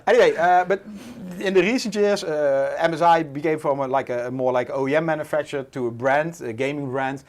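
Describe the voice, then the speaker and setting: A Dutch man in his forties with a Dutch accent, hosting a casual livestream, relaxed and full of "uh" fillers. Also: on the high side, fairly quick, hardly any pauses. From Netherlands. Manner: relaxed, many "uh" fillers, reads chat aloud. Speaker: livestream host.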